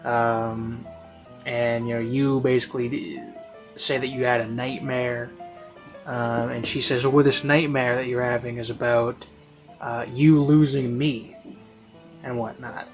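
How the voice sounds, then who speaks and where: A man giving a casual, rambling spoken review in a hesitant, halting way, as if thinking aloud. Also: medium pitch, flat delivery. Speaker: reviewer of audio series. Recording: classical music playing behind. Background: classical music.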